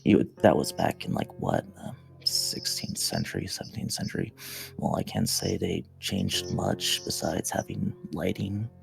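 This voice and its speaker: A man's voice: sits low, huge pitch swings, moderate pace, vocal fry, music in the background. A man from the United States talking loosely in a casual group chat, with lots of filler words. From USA. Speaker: guest.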